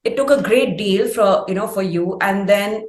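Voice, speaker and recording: Indian female interviewer, mid-pitched, wordy and conversational, recorded in a roomy echo. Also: normal speed. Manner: wordy, long winding questions. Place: indoor room on a video call.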